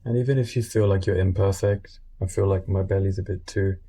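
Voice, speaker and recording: A man guiding a meditation in a gentle, unscripted way, speaking informally and soothingly in short phrases with pauses. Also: sits low, fairly even intonation, husky. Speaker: meditation guide.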